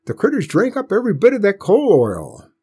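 A man voicing a stubborn, fond old country grandfather with a folksy rural drawl and a husky voice. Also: high-pitched, huge pitch swings, a little fast, warm tone, pitched above the performer's own voice, heavily acted.

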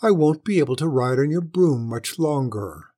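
A woman's voice: sits low, expressive, moderate pace, warm tone. A woman voicing an aging mother cat in a storybook, meek and faltering but politely stubborn. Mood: stubborn, pleading.

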